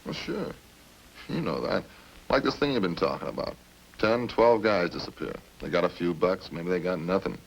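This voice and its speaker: A man's voice: deep voice, animated, moderate pace, creaky, breathy edge, heavily acted, poor audio. A man playing a talkative, smug murder suspect in a radio crime drama, folksy and cagey as he casually needles the police. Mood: chatty, smug. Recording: hissy old radio recording.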